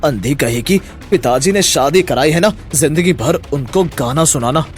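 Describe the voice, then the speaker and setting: A man's voice: fairly high pitch, expressive, moderate pace, faint music behind, close to an everyday voice. A man voicing a selfish, domineering young drunkard husband in a dramatized moral story. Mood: irritated, domineering.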